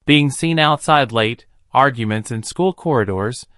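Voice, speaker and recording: A man voicing a gentle, concerned female teacher with a calm, measured manner in a narrated story. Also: medium pitch, no echo, studio quality, natural voice.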